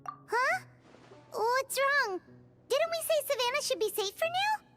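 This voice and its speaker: An actress voicing a tiny, curious, childlike companion creature in a game, with a chirpy manner. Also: female voice, very high voice, expressive, a little slow, music in the background. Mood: puzzled.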